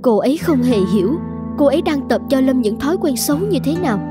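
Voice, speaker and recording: A woman's voice: sits high, lively intonation, fast, loud music behind the voice. A woman playing a firm, polite young mother in a scripted cartoon, reasoning earnestly. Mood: reflective.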